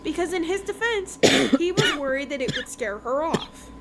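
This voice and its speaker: A woman's voice: high-pitched, animated, moderate pace. A woman podcast host casually retelling a novel's plot in a chatty, conversational way. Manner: chatty, conversational, acts out dialogue in passing. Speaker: podcast host reviewing books. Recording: clearly noisy background.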